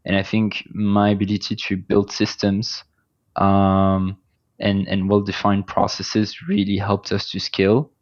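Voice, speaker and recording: A man with a French accent speaks in a low, fairly flat voice, often restarting his sentences and filling pauses as he talks things through. The recording sounds a little dull and lo-fi. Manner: hesitant, many restarts and fillers. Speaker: agency founder, guest. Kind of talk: casual interview conversation.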